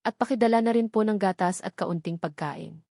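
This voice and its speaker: A woman with a Filipino accent voicing a calm, soft-spoken young male politician in a story. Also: medium pitch, a little fast, dry recording, studio quality, natural voice. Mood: calm, gentle. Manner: quiet, polite, composed.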